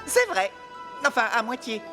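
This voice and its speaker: A performer voicing a cheerful, curious young child hero in a French animated series, eager and expressive, full of exclamations. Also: mid-range voice, normal speed, music in the background, close to an everyday voice. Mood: friendly.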